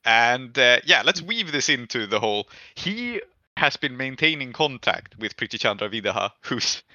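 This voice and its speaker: A man running a tabletop game as game master, improvising narration in casual, halting speech as he thinks aloud. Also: mid-range voice, lively intonation, pushed and bright. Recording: echoey room, muffled dull audio.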